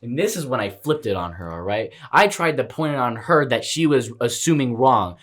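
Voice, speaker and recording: A man's voice: mid-range voice, normal speed. A young man on a casual podcast at home, telling a story with lots of laughs and a habit of asking "all right?". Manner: animated storyteller, laughs often, keeps saying "all right?". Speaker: podcast co-host. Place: room at home.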